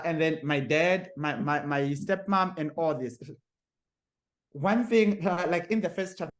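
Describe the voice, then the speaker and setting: Halting, laughing man from Zimbabwe. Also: fairly high pitch, low-quality recording. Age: young adult. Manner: halting, many fillers and repeated words, frequent laughs. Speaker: guest author. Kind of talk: podcast interview.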